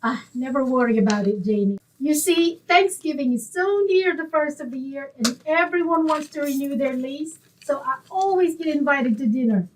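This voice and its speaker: High-pitched, touchy radio landlady. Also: animated, moderate pace, hardly any pauses, distant mic, a little hiss or hum, low-quality recording. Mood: smug, chummy. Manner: haughty, indignant, effusive. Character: vain, touchy, gabby landlady, prickly yet chummy. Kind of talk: old-time radio comedy acting.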